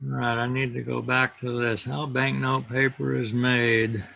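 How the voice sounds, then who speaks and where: A man teaching an online class over a laptop video call, explaining step by step and pausing to look for things on his screen. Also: mid-range voice, little pitch movement, creaky, highs cut off. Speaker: instructor.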